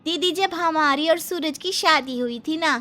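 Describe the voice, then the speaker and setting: A woman playing a naive, cheerful young village sister-in-law in an animated family story, with a rustic Hindi dialect and simple, earnest exclamations. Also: sits high, measured pace.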